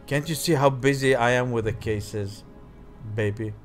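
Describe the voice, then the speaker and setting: A man's voice: medium pitch, moderate pace. A man hosting a gaming video reads visual novel text aloud with theatrical emphasis, stumbling now and then and dropping in offhand comments. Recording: music behind the voice. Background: game music.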